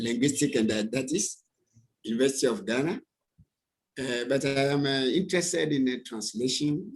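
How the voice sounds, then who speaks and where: A man's voice: medium pitch, gravelly. A man who works as a linguist introduces himself on a video call, stumbling over words and restarting often. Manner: halting, with many restarts. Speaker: linguist and lecturer. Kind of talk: self-introduction at an online conference.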